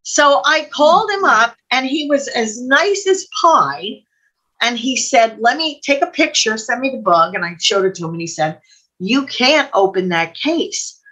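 A woman's voice: on the high side, expressive, unhurried, sharp tone, rough recording. An older woman telling anecdotes casually on a video call, chatty and digressive. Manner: chatty, digressive, quotes people as she tells stories. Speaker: guest storyteller. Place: home room, indoors.

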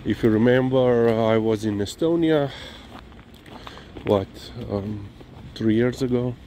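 Male vlogger, Slovenian accent, mid-pitched, very leisurely and rambling delivery, recorded outside on a walk. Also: warm tone. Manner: hesitant, halting delivery with frequent "you know". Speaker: dog owner and vlogger. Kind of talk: casual walk-and-talk vlog, rambling. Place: outdoors, on a walk. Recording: clearly noisy outdoor ambience, vehicle sounds.

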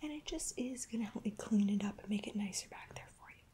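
A young woman whispering gently and breathily in a reassuring, softly hesitant ASMR hairdresser roleplay, close to the microphone. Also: medium pitch, fast, husky. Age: young adult. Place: indoor room at home.

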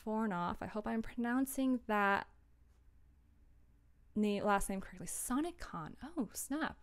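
A woman's voice: medium pitch, expressive, unhurried. A young woman chatting casually on a livestream and answering viewers in a scattered, self-interrupting, informal way. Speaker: audio drama producer and livestreamer.

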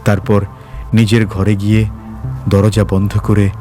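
A man narrating a scripted story with expressive, dramatic phrasing. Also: lowish voice, a little slow. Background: faint background music.

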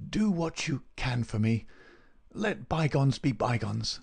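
A man voicing a gravely ill, semi-delirious character in a storybook, pleading weakly in a halting, gasping whisper. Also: mid-range voice, very animated, darkish tone, voice pushed up, full character voice. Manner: slow, feeble, halting pleading.

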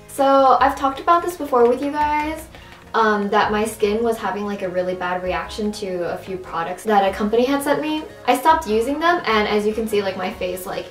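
A young woman with a raspy, strained voice chatting casually through a makeup tutorial at home. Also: medium pitch, roomy sound. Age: young adult. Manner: chatty, upbeat, casual with fillers. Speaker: beauty vlogger. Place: small room at home, indoors.

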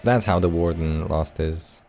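Low, mellow male gaming host. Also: unhurried, faint music behind. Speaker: let's play host. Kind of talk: casual gameplay commentary.

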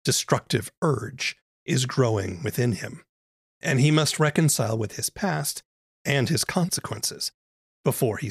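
A man presents an interview podcast in a gravelly, husky voice. He speaks as a host, partly scripted and partly conversational, recorded close and dry. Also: medium pitch, no room sound.

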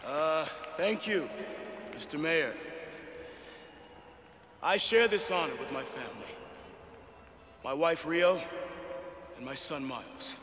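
An actor playing a humble, sincere police officer giving a hesitant acceptance speech in a video game cutscene. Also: male voice, a little high, slow, long pauses, fairly bright tone, roomy sound, in a large hall, slight background noise. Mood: humbly moved.

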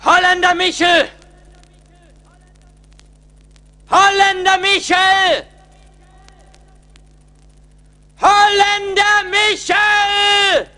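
A man's voice: very high-pitched, little pitch movement, slow pace, sharp tone, heavily acted. An actor playing a bold, desperate man summoning spirits in a radio play, declaiming. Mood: calling. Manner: declaiming, calling out. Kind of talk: 1980s German radio play acting.

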